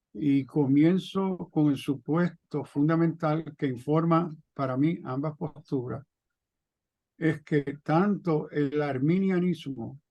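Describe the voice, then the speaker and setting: A man in his seventies from New York, teaching theology in a deliberate, emphatic way with pauses and self-corrections, on dull audio. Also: fairly high pitch, vocal fry, dry recording. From New York, of Puerto Rican parents. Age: 70s. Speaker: theologian, pastor and guest lecturer. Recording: dull, band-limited audio.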